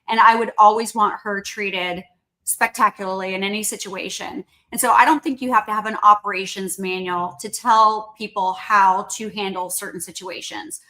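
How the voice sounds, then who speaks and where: A woman guest speaking as a company chief in a conversational interview, earnest and explanatory, often checking in with a quick tag question. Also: medium pitch, fairly even intonation, bright, forceful tone, poor audio. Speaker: company CEO, podcast guest.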